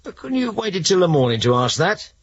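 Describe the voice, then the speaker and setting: Senior police inspector, gruff, sarcastic and weary, lively pitch, slightly muffled radio drama sound. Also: male voice, fast. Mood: sleepy, irritated.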